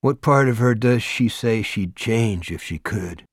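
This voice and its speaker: A man voicing a timid, bookish young male inmate who speaks quietly and carefully. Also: lowish voice, unhurried, no room sound, studio quality.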